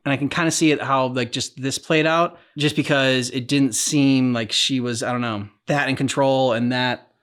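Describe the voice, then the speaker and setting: A man with an average-pitched voice co-hosts a casual reaction discussion. He speaks tentatively, feeling his way through loose, rambling thoughts. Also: fairly even intonation, moderate pace, fairly bright tone. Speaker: reaction channel co-host.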